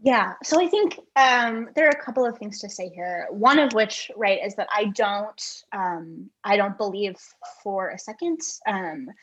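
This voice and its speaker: A woman giving thoughtful interview answers in an earnest, halting way, repeating words as she reasons. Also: mid-range voice, a bit bright, slightly rough, highs cut off. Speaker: guest author, poet and essayist.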